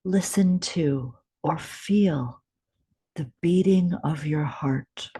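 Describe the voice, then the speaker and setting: A woman guiding a meditation in a gentle, unhurried way, with deliberate pauses and a soft, breathy edge. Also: sits low. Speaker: meditation guide. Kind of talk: guided meditation, scripted instruction.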